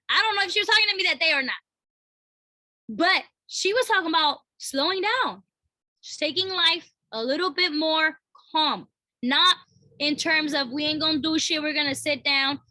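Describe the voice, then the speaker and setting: A woman co-host talking earnestly in a casual spiritual chat. Her voice sits high and sounds bright and sharp. The sound is dry and close. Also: no room sound, low-quality recording. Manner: reads a book passage aloud, then reflects; earnest, repeats phrases with tag questions. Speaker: podcast co-host.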